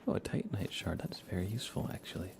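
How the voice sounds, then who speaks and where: A man giving soft-spoken, relaxed and unhurried ASMR commentary while he plays a video game live. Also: low-pitched, vocal fry, background noise. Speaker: livestreamer playing a video game.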